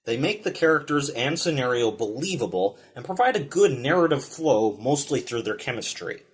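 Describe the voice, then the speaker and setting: Male review host, mid pitch, highly varied intonation, scripted video-essay narration. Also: very animated, moderate pace. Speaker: film reviewer and show host.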